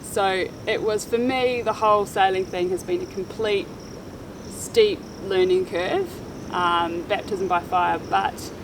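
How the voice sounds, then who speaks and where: A woman's voice: mid-range voice, animated, slow pace, noisy background. A woman in her thirties from New Zealand with a Kiwi accent, chatting casually about her sailing life with many ums. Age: 30s. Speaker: sailing vlogger. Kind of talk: casual chat. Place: outdoors on a boat in Panama.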